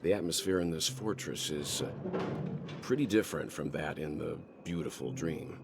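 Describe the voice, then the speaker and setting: An actor playing a calm, thoughtful, dignified middle-aged traveller in a video game, speaking in a measured, reflective way. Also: male voice, a bass voice, vocal fry, noisy background. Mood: calm, pensive. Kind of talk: scripted video game dialogue.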